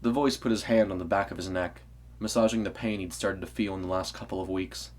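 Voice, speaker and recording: A man's voice: low-pitched, steady tone, a little fast, a little mellow. A man reading scripted story narration as the narrator of an audio tale.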